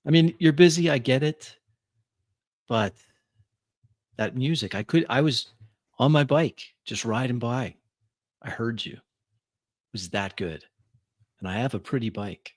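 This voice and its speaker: A man playing a smooth, flattering biker who speaks haltingly and coaxingly in a tabletop roleplaying game. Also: medium pitch, no echo, clean studio sound, voice pushed up, lightly acted. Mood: smooth flattery. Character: smooth, coaxing male biker courting a singer.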